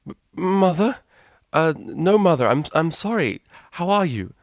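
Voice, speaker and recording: Man voicing a high-strung, proper young pony mare. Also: lively intonation, measured pace, no echo, clean studio sound. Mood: flustered. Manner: proper, stammering when flustered, indignant. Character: young female pony cellist, proper, flustered, easily exasperated.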